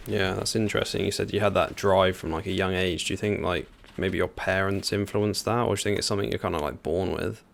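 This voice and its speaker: A man hosts a podcast interview, with a very deep voice and a gravelly edge. He asks questions in a relaxed way, hedging with small fillers. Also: fast talker, hardly any pauses. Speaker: podcast host.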